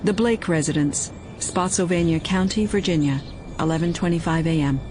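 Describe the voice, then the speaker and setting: A woman's voice: lowish voice, moderate pace, noisy background, natural voice. A woman playing an impersonal announcer of places and times in an audio drama, clipped and factual. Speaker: scene announcer.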